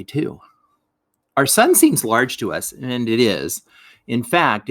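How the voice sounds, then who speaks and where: Man reading a lesson aloud with lively intonation. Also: mid-range voice, no room sound. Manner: expressive, explanatory, addresses young listeners directly. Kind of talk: scripted educational read-aloud for children.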